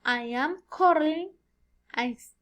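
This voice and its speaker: Female student, 20s, native Spanish speaker with Colombian accent. High voice, extremely slow delivery, hesitant word-searching in a self-introduction. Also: fairly bright tone. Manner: halting, searching for words. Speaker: student giving an oral presentation. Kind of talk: rehearsed self-introduction for a language class.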